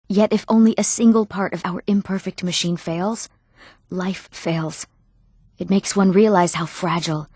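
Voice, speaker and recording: A woman playing an earnest, emotional young townswoman in a fantasy video game, speaking clearly and expressively. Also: lowish voice, darkish tone, no room sound, clean studio sound. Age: young adult. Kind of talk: scripted fantasy game dialogue.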